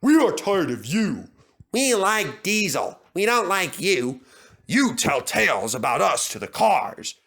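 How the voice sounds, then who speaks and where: A man's voice: high-pitched, big pitch swings, fairly bright tone, voice pushed up, heavily acted. Man voicing pompous, indignant steam engines, raised voice. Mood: angry accusing. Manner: pompous, drawn-out, emphatic. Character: proud, indignant, bullying male steam engines.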